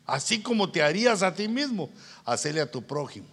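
A Guatemalan man preaching in a husky, fairly high voice. His pitch swings widely as he moves between teaching and colloquial storytelling. He talks to listeners informally and acts out conversations as he goes. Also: very animated, brisk, fairly bright tone. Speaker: pastor, preacher. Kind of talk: conversational sermon with anecdotes.

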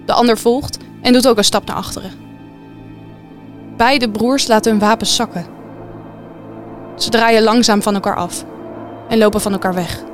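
Higher-pitched woman narrating a drama. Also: steady tone, moderate pace, long pauses. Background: music.

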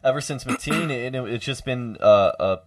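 Brief: young male sports radio host, energetic and loud, full of fillers, very dry studio sound. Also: mid-range voice, moderate pace, no room sound. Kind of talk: casual college radio sports talk.

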